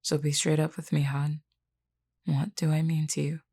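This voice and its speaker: A self-assured werewolf woman, voiced by an actress in an intimate roleplay. Her voice sits very low, with heavy gravel and a dark, mellow tone. She is flirty and blunt, teasing the listener directly. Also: flat delivery. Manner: teasing, blunt, unhurried, uses pet name "hun". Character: flirty, defiant adult female werewolf, wounded but self-assured.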